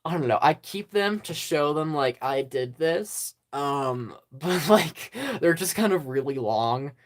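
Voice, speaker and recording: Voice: mid-range voice, lively intonation, a little slow. A YouTube host in a casual, rambling channel update, hesitant and self-deprecating, with many ums and small laughs. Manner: self-deprecating about own past work. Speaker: stop-motion channel host.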